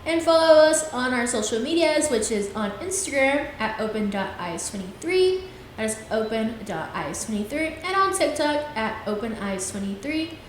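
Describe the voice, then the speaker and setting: A woman's voice: a little high, lively intonation, moderate pace. A woman in her twenties hosting her own podcast, talking in an earnest, rambling way and often trailing off with "things like that.". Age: 20s. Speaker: podcast host. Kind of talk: casual solo podcast talk. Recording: very echoey room, faint hiss.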